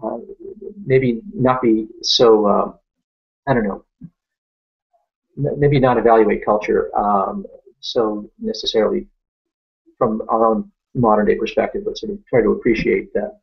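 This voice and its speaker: A middle-aged man with a French Canadian accent, his first language French, working through viewer questions on a live stream. His voice has a gravelly edge, he talks at a considered, reasoning-aloud pace, and the audio is dull and lo-fi. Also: lowish voice. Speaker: Q&A host.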